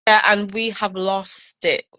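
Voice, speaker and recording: A woman's voice: mid-range voice, level tone, slow, pushed and bright, low-quality recording. Barbadian woman coaching on healthy habits. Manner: earnest, explains simply with many likes and restarts. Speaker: guest, burnout recovery coach. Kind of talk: casual conversation. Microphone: video call.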